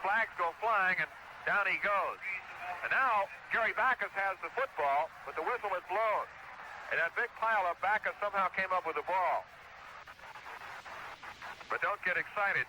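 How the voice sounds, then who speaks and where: A man's voice: high-pitched, moderate pace, long pauses, noisy background. An American man calling live football play-by-play on an old radio broadcast. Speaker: play-by-play sports commentator.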